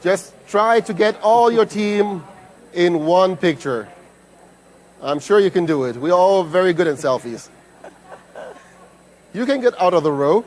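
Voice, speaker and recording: A man's voice: high-pitched, expressive, unhurried, long pauses, a little mellow. A man who designs meetings, hosting a conference session in an earnest manner with repetitive phrasing. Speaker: meeting designer, event host. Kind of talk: conference talk. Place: conference hall.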